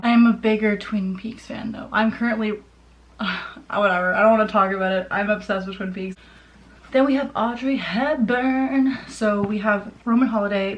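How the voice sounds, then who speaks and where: A woman's voice: mid-range voice, a little hiss or hum, rough recording. Gushing young woman, casual rambler. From Ontario, Canada. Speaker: vlogger showing a personal collection. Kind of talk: casual chat to camera.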